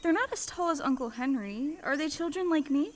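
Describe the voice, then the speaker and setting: A woman's voice: sits high, lively intonation, brisk, slight background noise. A woman playing an earnest, bewildered young farm girl in an audio play, speaking with breathless, exclamatory wonder. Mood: hushed wonder. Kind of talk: stage-style audio play acting.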